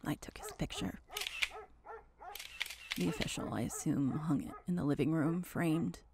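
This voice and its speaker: A woman narrating a first-person horror story dramatically, in halting, intense fragments, with a gravelly, creaky voice. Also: low voice, wildly swooping pitch, background noise.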